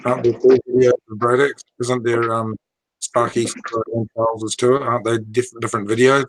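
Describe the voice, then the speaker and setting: Hoarse man with a cold, speaking softly. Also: medium pitch, little pitch movement, normal speed, no echo, poor audio. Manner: soft, subdued. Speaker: hobbyist with young sons. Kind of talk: casual video call chat. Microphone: video call.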